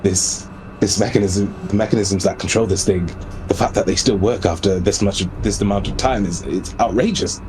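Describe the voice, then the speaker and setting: A man playing an awestruck, nerdy vault dweller who marvels haltingly at machinery in a tabletop game. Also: lowish voice, background noise, natural voice. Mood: awed, fascinated. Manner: hesitant, marvelling.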